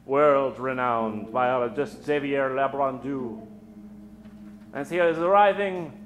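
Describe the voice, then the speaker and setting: A man hosting and narrating an old-time radio spoof on stage, with a theatrical, mock-ominous delivery full of dramatic pauses. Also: medium pitch, a bit bright. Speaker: host and narrator of a radio show. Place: theater stage before an audience. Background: live keyboard music.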